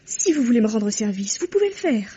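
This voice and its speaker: A woman's voice: on the high side, very animated, brisk, dark tone, breathy edge, exaggerated voice. An actress playing a frightened young woman who talks in a tense, resigned and defiant way, in an old radio play. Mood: desperate. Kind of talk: 1950s radio drama acting. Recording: dull old radio recording.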